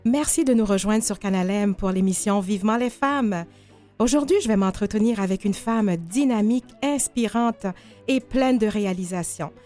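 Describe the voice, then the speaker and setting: A woman's voice: medium pitch, normal speed, mellow, soft background music. A woman hosting a radio interview show, presenting and questioning her guest.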